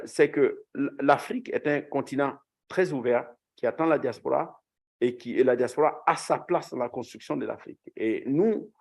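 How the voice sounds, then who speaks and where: A man speaking earnestly on a conference panel as a former official, often pausing and restarting phrases. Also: mid-range voice, darkish tone. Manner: earnest, hesitant with frequent restarts.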